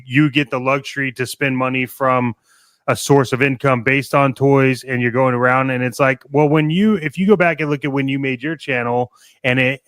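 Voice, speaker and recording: A man co-hosting a casual podcast, giving opinions in a halting way and often repeating his phrases. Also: medium pitch, a bit bright, clear, resonant tone, no room sound. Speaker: podcast co-host.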